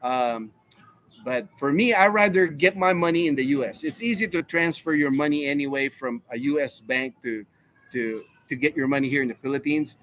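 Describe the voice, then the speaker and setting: A man's voice: medium pitch, normal speed, fairly bright tone, a little hiss or hum. A man in his fifties, from the United States, hosting a casual live Q&A stream and rambling through answers to chat questions. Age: 50s. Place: home in the Philippines.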